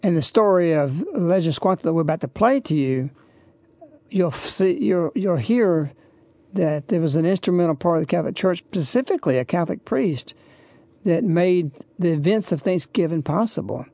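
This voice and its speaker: Radio host, kinda gravelly n dry sounding, rambles reverently, devotional intro talk. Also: medium pitch, expressive, normal speed, warm tone, no echo.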